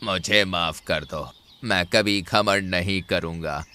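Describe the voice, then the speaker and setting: A man's voice: deep voice, slow, pushed and bright, slight background noise, pitched below the performer's own voice, exaggerated voice. A man voicing a proud, boastful male bull in an animal fable cartoon, with a dramatic delivery. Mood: remorseful. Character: boastful bull humbled by danger, pleading and proud.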